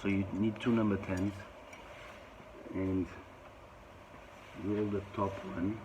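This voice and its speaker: A man with a croaky voice casually walking through an engine repair, talking in halting, plain phrases with restarts. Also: lowish voice, level tone. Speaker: boat owner showing a repair. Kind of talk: casual how-to demonstration. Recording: loud background noise.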